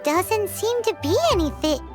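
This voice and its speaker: A woman voicing a tiny childlike fairy companion in a video game, chatty, excitable and cheeky, exclaiming and talking about herself in the third person. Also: very high-pitched, a little slow, over music. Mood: curious, chatty. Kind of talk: cartoonish video game voice acting.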